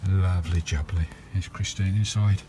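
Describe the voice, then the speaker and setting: A man's voice: sits low, steady tone, moderate pace, dark tone, slight background noise. A man with an English accent talking casually and matily to viewers, with colloquial turns of phrase, as he shows hands-on work on his campervan. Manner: chatty, colloquial, matey address to viewers. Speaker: vlogger showing a campervan radio installation. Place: in and around a parked campervan. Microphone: handheld camera microphone.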